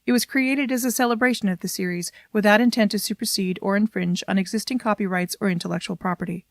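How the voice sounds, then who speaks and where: A woman's voice: medium pitch, quick pace, no room sound. Woman announcer, dry recording. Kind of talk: scripted credits and disclaimer reading.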